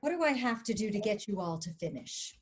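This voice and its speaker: A woman's voice: mid-range voice, very animated, a little fast, close to an everyday voice. A woman acting a shrewd, reluctant, brusque television star and boss in a screenplay table read. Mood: brisk. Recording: faint background noise, online call.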